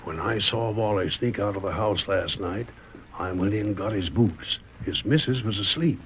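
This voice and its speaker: Guy playing sly crooked old west sheriff, gravelly drawl, vintage radio w faint hiss. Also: on the low side, normal speed, lightly acted. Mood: smug, gloating. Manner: folksy frontier drawl. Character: crooked, scheming frontier sheriff, smug and sly. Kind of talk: old-time radio western acting. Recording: dull vintage radio recording, faint noise.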